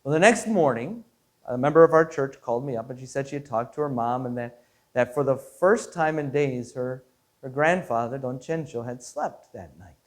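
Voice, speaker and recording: A man, a pastor giving a devotional story in an earnest, measured way, sometimes repeating words as he goes. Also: medium pitch. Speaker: Lutheran pastor.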